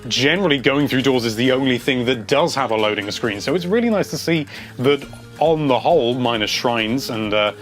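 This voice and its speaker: A man's voice: medium pitch, lively intonation, normal speed, fairly bright tone, faint music behind. A man hosting a casual video, reading out viewer comments and chatting about them in a rambling, enthusiastic way.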